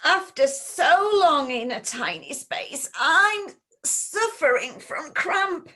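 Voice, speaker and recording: A woman's voice: sits high, lively intonation, slow, pushed and bright, exaggerated voice. A woman playing a ditzy, cheerful genie in a pantomime, with a theatrical delivery. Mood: chirpy, pleased. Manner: theatrical, swooping. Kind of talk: pantomime acting.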